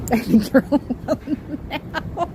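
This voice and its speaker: A woman with a high voice chatting casually to her viewers, wry and quick to laugh. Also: background noise. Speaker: livestream host. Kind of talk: casual chat reacting to viewer comments.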